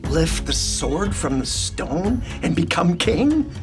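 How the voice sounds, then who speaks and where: A man's voice: on the high side, big pitch swings, takes it slowly, music in the background, full character voice. An actor playing a greedy, ambitious king and father with formal, theatrical speech. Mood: grandiose, scheming.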